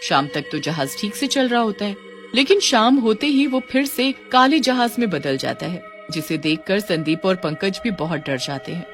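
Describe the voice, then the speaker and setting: A woman's voice: mid-range voice, lively intonation, moderate pace, faint music behind. A woman reading scripted narration for a cartoon story.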